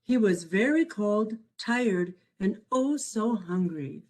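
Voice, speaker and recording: A woman reading her own children's picture book aloud as a storyteller, in an expressive, measured way. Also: mid-range voice. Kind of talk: scripted storybook narration for children.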